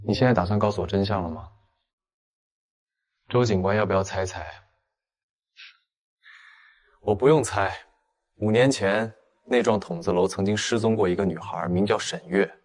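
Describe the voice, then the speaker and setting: Man acting a low-voiced, cool, restrained young man. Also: natural voice. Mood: calm, probing. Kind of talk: audio drama acting for animated comic.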